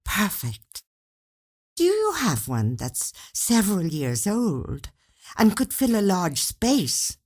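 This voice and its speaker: A woman reader plays a scheming wife from a short story. She sounds sweet and coaxing, with lively rises and falls in a slightly husky voice. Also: mid-range voice, slow pace, voice pushed up, heavily acted. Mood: sweetly composed. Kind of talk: acted dialogue in a read short story.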